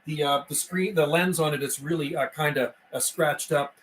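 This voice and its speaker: A man's voice: on the high side, steady tone, moderate pace. An older man, chatting casually and calling out as a CB radio operator on a livestream, in a folksy way with repeated radio phrases. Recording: roomy, distant mic, faint noise.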